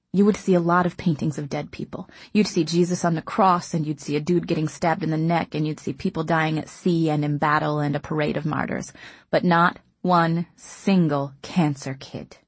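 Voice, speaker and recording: A woman voicing a young man with terminal cancer, speaking quietly with wry, weary resignation in an audiobook. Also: deep voice, fairly even intonation, normal speed, breathy edge, no room sound. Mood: wistful, bitter. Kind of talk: audiobook character reading.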